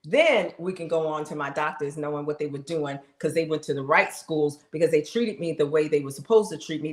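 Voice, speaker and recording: A middle-aged woman with a fairly low voice speaks straight to her viewers on a live stream, animated and chatty. The sound rings off the walls of an echoey room. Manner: animated, talks straight to the audience, exclaims, laughs. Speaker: live streamer sharing her health journey. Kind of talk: casual testimony to viewers.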